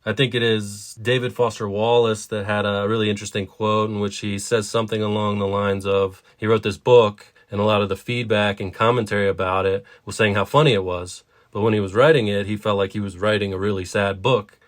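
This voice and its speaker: A man with a fairly low voice speaks as a podcast co-host. He discusses writing conversationally, explaining his thoughts in a reflective manner. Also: flat delivery, fairly quick, few pauses.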